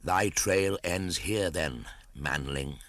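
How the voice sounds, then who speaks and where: A huge, wise old snake, acted out by a male reader, speaks with very slow, drawn-out solemnity. The voice is cold-blooded and very deep, recorded close and dry for a storybook. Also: a bit bright, no echo.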